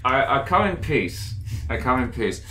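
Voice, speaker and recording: A man playing a polite, apologetic male adventurer who speaks formally and haltingly in a tabletop game. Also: mid-range voice, very animated, bright, forceful tone, slight background noise. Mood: placating.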